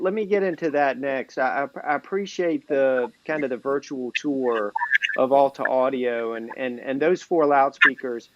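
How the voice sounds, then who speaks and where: A man's voice: mid-range voice, a bit bright. A man hosting a conversational interview as a magazine editor. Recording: dull lo-fi sound with clear noise.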